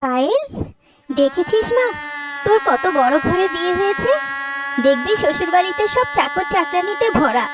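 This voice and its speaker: Cartoon mother: proud, scolding, high and very animated, emphatic delivery. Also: normal speed, long pauses, heavy background noise. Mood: gloating. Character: sharp, scolding aunt and doting, proud mother. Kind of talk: Bengali moral-story cartoon dub.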